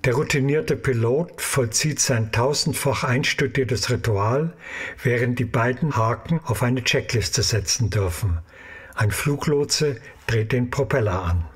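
A man's voice: mid-range voice, unhurried, slightly rough. A man narrating an audio drama in a scripted, storytelling style.

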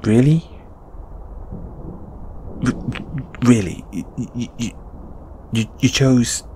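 A British man voicing a shy, flustered lamia flatmate in an intimate audio roleplay, with stammering, hesitant, sighing delivery. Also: mid-range voice, takes it slowly, slight background noise, natural voice, rough recording. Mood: nervous, defensive.

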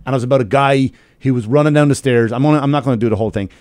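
A man's voice: mid-range voice, racing, a little mellow, dry recording, clean studio sound. A man with an Irish accent telling stories casually and emphatically on a podcast, swearing freely. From Ireland. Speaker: comedian. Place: small podcast studio. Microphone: close studio microphone with headphones.

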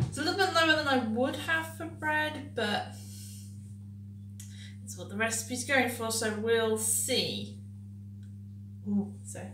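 A woman's voice: a little high, pushed and bright, slight background noise. A young woman chatting casually through a home baking demonstration, upbeat and playful, with plenty of filler ums and little history asides. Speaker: home cooking vlogger. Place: small home kitchen, indoors. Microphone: camera mic on the counter, speaker moving around. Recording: echoey kitchen room sound.

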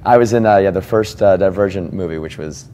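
A man's voice: deep voice, warm tone. A man, an actor guest on a casual studio talk show, easygoing, with a handheld mic. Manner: easygoing, quick talker. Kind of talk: casual interview. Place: studio set. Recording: long reverb, faint ambient noise.

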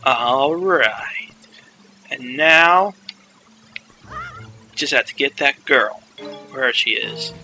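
A man's voice: medium pitch, very slow, gravelly, long pauses, bright, forceful tone, faint music behind, voice pushed down, full character voice, poor audio. A man voicing a boastful, panicky young boy hero in a comedic video game dub, exclaiming and narrating himself dramatically. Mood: sneaky, boastful.

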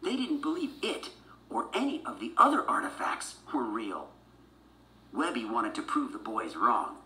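A woman's voice: extremely low pitch, sing-song, normal speed, sharp tone. A woman narrating a children's storybook from a script as a read-along. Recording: roomy sound with music behind.

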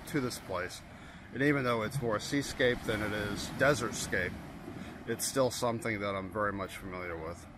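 Middle-aged man photographer, relaxed vlog chatter, outdoors by the surf, audible wave noise behind. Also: on the low side. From Portland, Maine, USA. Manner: relaxed, explaining as he works, many fillers and restarts. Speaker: landscape photographer. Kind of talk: casual vlog narration while shooting. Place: rocky beach outdoors at dawn, waves behind. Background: surf and ambient noise.

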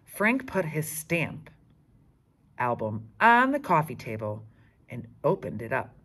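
Female storyteller, teacher type; highly animated intonation, unhurried read-aloud delivery. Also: breathy edge. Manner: expressive, deliberate reading. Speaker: teacher reading aloud to children. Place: school classroom, indoors.

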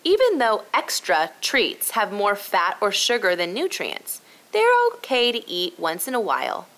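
A woman narrating a scripted children's storybook, telling it clearly for young listeners. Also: mid-range voice, wildly swooping pitch, unhurried, a bit bright.

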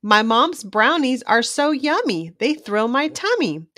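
A woman's voice: high-pitched, a little slow, no echo, clean studio sound, full character voice. A woman voicing an eager, treat-loving young boy shark in a children's storybook. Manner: excited, enthusiastic. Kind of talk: children's storybook read-aloud.

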